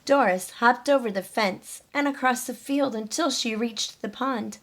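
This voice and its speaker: A woman's voice: a little high, unhurried. A woman reading a picture book aloud for young children, explaining things in an instructive way as a storyteller. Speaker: storyteller, teacher.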